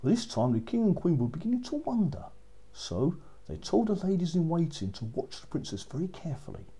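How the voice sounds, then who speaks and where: A man narrating a children's story as a storyteller, with expressive, dramatic delivery. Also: on the high side, a little fast, slightly rough.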